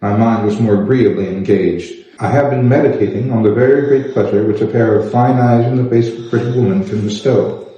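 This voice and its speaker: A man voicing a proud, reserved young gentleman with a formal, dry manner in a storybook. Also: lowish voice, fairly quick, close to an everyday voice. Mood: aloof, composed. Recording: echoey room, slightly dull sound.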